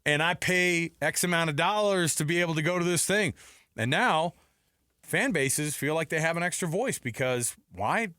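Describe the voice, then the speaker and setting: A man's voice: fairly high pitch, expressive, moderate pace, pushed and bright, no echo, clean studio sound. A middle-aged man co-hosting sports radio, giving emphatic opinions with rhetorical questions and repeated points.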